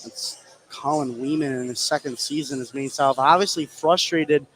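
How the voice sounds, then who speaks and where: A man working as color commentator on a live high school basketball broadcast, giving conversational analysis between plays. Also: normal speed, a bit bright, slight background noise, rough recording.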